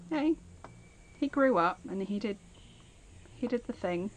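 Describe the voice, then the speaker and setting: A woman's voice: mid-range voice, lively intonation, measured pace, slight background noise. A woman chatting casually on a live game stream, rambling as she plays and thanking viewers by name. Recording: game music playing underneath.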